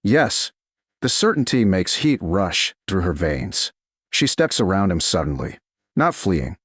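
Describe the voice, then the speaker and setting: A man's voice: low voice, very animated, measured pace, fairly bright tone, slightly rough, no room sound, studio quality. A man reading a romance story as a dramatic audiobook narrator, in short, weighted, suspenseful phrases.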